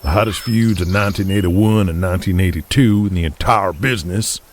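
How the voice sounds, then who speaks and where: An actor playing a gruff, shrewd wrestling promoter from Texas in an audio drama, with a folksy, deliberate way of speechifying. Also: male voice, sits low, a little hiss or hum, close to an everyday voice. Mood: keen, scheming.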